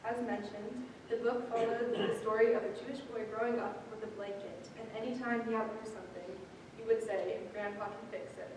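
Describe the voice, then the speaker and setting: A woman's voice: fairly high pitch, fairly even intonation, a little fast, darkish tone. A young woman reading a prepared eulogy as a granddaughter at a memorial service. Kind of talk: prepared memorial speech, read aloud. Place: large reverberant hall at a memorial service. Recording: echoey hall, distant mic, noisy.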